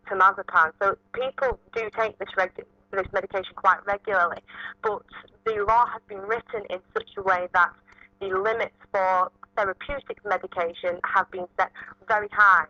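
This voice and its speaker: A woman's voice: medium pitch, pushed and bright, no echo. A woman giving methodical, detailed explanations as an expert guest in a live radio interview. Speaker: motoring lawyer, radio guest. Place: live local radio broadcast. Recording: dull lo-fi radio or phone audio.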